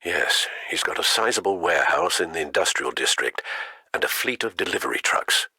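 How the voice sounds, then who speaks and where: Older game butler, low husky voice, very lively intonation, polite, careful, protective. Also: male voice, a little fast, no echo, studio quality, exaggerated voice. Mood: attentive. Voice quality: husky, bright edge. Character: older male butler and confidant, courteous, anxious, protective. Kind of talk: video game voice acting.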